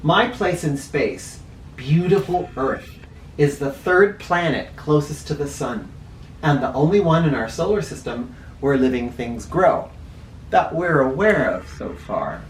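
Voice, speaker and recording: A man reading a picture book aloud to children as host, deliberate and explanatory, with informal teaching asides. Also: on the high side, animated. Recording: roomy echo, distant mic, faint noise.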